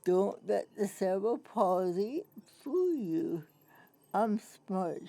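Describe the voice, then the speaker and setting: A woman plays a proud starship communications officer. Her speech is slow and effortful, with a creaky, mellow tone and a dry, close sound. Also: mid-range voice, big pitch swings, dry recording, exaggerated voice. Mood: proud, assured.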